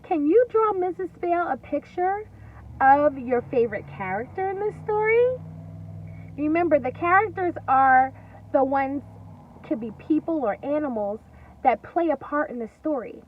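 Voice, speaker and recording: A woman teacher reading a story aloud to young children, expressive and deliberate, pausing to explain and ask questions. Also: high-pitched. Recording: distant, dull lo-fi recording with faint noise.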